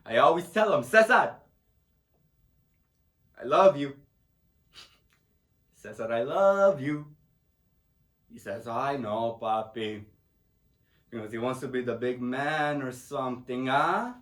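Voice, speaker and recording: A man's voice: mid-range voice, slow pace, long pauses, fairly bright tone, pitched below the performer's own voice. A man playing a middle-aged Puerto Rican immigrant father in a solo dramatic monologue, proud, tender and grieving, speaking broken English with a Puerto Rican Spanish accent and rambling with "huh?" tags. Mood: fond, wistful. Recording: echoey room.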